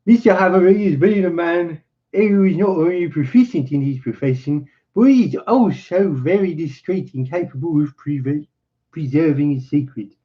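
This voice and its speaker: A man's voice: fairly high pitch, normal speed, pitched above the performer's own voice, close to an everyday voice. A man voicing a secretive, insistent German colonel in his late thirties from a storybook, speaking formally and guardedly. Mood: formal, flattering. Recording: dull, muffled lo-fi recording.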